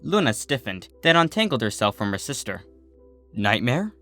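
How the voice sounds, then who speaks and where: A man reading a story aloud as an expressive narrator. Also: mid-range voice, fairly quick, soft background music.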